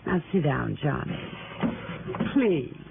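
Insistent, expressive radio drama woman. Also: sits low, takes it slowly, creaky, exaggerated voice. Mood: insistent, concerned. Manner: coaxing, insistent. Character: concerned, evasive, gently insistent woman hostess. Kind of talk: 1950s-60s radio drama acting. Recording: tinny, faintly noisy vintage radio recording.

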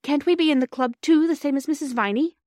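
A woman's voice: sits high, fast, darkish tone, dry recording, studio quality, voice pushed up, natural voice. A woman voicing an earnest, polite young girl in a storybook, hesitant and eager. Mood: anxious, earnest. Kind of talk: storybook character dialogue.

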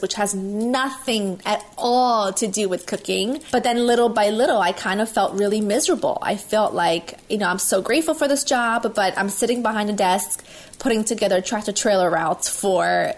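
A loud, enthusiastic woman in her thirties from Italy, talking openly as an interview guest. She speaks without holding back and sounds sure of herself. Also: medium pitch. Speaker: guest, cooking video host. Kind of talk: candid interview storytelling.

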